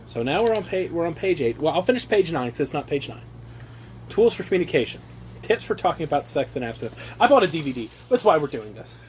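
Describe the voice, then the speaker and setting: Higher-voiced young man, joking over background noise. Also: very animated, fast. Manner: mock-earnest reading, sweary, jokey. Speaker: reader among his brothers. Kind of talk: joking read-aloud with casual asides. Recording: noisy amateur home recording.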